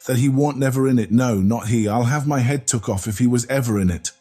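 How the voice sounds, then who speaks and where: A man voicing a belligerent working-class Londoner, blunt and combative in rough dialect. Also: medium pitch, fairly quick, dark tone, faint music behind, pitched above the performer's own voice, natural voice. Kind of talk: audiobook character reading.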